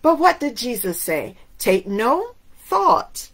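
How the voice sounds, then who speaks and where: A woman's voice: fairly high pitch, sing-song, slow pace. A middle-aged woman from Cuba whose first language is Spanish, preaching a sermon to camera in an emphatic way, asking many rhetorical questions. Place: indoors in front of a waterfall backdrop.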